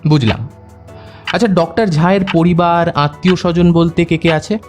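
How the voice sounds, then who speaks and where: An actor playing a composed, polite but insistent private detective in an audio drama, speaking in a measured way. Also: male voice, a little high, fairly even intonation, darkish tone, natural voice. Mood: probing, polite. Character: composed, probing male private detective questioning suspects. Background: background music.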